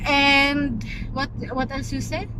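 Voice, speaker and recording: Female vlogger, Filipino accent, high voice, unhurried casual tutorial, hesitant. Recorded in a noisy moving vehicle. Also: flat delivery. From Philippines. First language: Tagalog. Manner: hesitant, explaining, repeating words. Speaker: vlogger learning and explaining Czech. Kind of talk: casual language tutorial chat. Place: moving vehicle on a road trip. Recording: loud vehicle and road noise.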